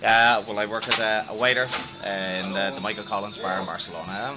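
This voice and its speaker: A man with a Dublin Irish accent, chatting in a relaxed, jokey way in a street interview. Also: fairly low pitch, a little fast. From Dublin, Ireland. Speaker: waiter.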